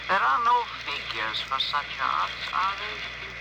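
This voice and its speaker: An actor playing a thoughtful, regretful alien spacefarer in a measured 1950s radio drama style. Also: male voice, high-pitched, sing-song, gravelly, full character voice. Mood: wistful, musing. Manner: measured, musing. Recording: noisy crackly old radio recording.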